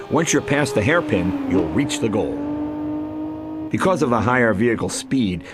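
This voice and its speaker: A man reading scripted instructional voice-over for a racing game in a clear, measured manner. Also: mid-range voice. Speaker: game tutorial narrator. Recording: loud car engine noise over voice. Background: race car engines and driving sounds.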